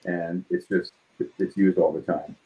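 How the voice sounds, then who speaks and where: A man in his seventies from New York City with a New York accent, talking casually about his craft in his home studio, quick and succinct, hitting consonants hard. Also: low-pitched, fairly even intonation, highs cut off. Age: 70s. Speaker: guest artist. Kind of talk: casual studio chat while drawing.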